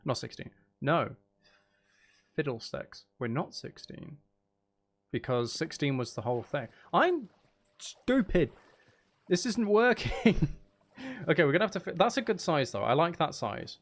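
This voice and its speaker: A man's voice: a little high, sing-song, unhurried, pauses often. A man giving casual gameplay commentary, muttering to himself in a playful, self-deprecating, sweary way. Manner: mutters and counts aloud to himself. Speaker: streamer.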